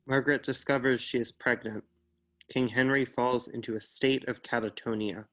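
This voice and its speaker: A man's voice: mid-range voice, fairly even intonation, moderate pace, fairly bright tone, soft background music. A man reading stage directions as scripted narration for a play. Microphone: video call.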